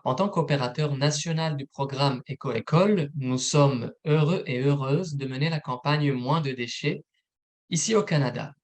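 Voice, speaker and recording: A man, a program manager, presenting a recorded informational webinar in a steady, methodical read. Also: medium pitch, normal speed.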